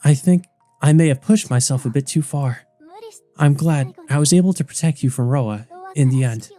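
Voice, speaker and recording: A man's voice: medium pitch, warm tone, soft background music, natural voice. Man reading as a faint, dying ancient vampire woman. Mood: weak, grateful. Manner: faint, halting delivery. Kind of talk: visual novel character reading.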